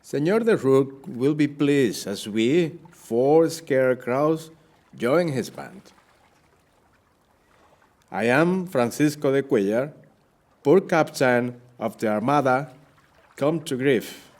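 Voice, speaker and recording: A man plays a devout, shipwrecked Spanish captain in an audio play. He speaks slowly and dramatically, with lively rises and falls, formal and weary. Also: medium pitch. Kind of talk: audio play monologue and dialogue.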